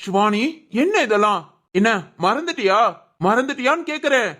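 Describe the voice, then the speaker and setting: A woman acts out an angry middle-aged husband and father from a family audio drama. The voice sits fairly high for the part and is scolding, sarcastic and bitter, full of accusation. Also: moderate pace, lightly acted.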